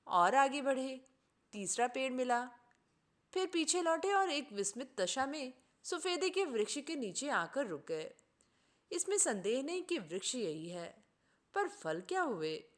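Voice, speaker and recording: A woman reading a literary short story aloud as an expressive audiobook narrator. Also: high voice, slow pace.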